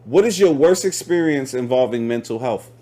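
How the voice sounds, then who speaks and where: A man's voice: medium pitch, animated, normal speed, dark tone. A man in his late twenties hosting a casual interview, slangy and joking, often talking over his guest. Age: late 20s. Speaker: host.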